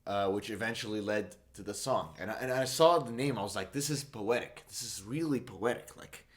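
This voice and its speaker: Young saudi guy, arabic is his first language, kinda low voice, rambling and restarting a lot, mock-serious about his song. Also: fairly quick. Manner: meandering, self-correcting, many fillers, mock-earnest. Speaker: songwriter explaining his song. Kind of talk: casual rambling explanation.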